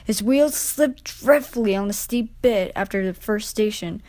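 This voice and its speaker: A woman's voice: mid-range voice, unhurried, darkish tone, no room sound. A woman reading a children's story as a scripted narration.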